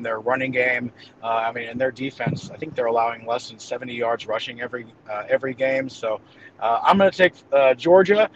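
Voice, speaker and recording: A man's voice: mid-range voice, dull audio, slight background noise. A man giving casual football predictions as a guest analyst, hesitating and thinking aloud as he goes. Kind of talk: casual game-prediction commentary.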